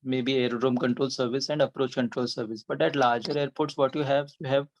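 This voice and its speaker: A man's voice: mid-range voice, level tone, brisk, lo-fi sound, a little hiss or hum. A man with an Indian accent, giving a methodical, step-by-step technical explanation as an interview guest. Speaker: air traffic controller, podcast guest.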